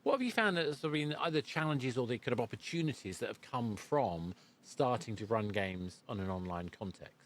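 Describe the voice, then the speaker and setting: A man's voice: medium pitch, expressive, moderate pace, hardly any pauses. A man hosting a conversational interview, circling his way into questions. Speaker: podcast host and presenter.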